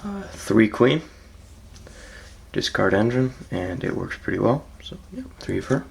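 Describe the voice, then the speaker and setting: A raspy, just-woken man, gravelly and low. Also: sing-song, a little slow, slight background noise. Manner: laid-back, hesitant, frequent ums, trails off softly. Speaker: card game player presenting his deck. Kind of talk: casual deck walkthrough.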